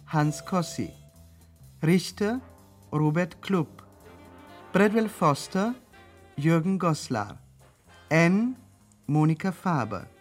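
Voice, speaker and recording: A woman reading radio play credits as a formal, measured, scripted announcement. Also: lowish voice, darkish tone.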